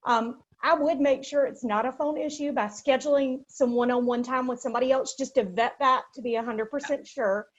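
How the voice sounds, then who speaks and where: A woman's voice: high voice, fairly even intonation, a little fast, hardly any pauses. A woman panelist on a video call, modest and practical in her advice. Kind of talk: casual chat on a video call. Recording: dull video call audio.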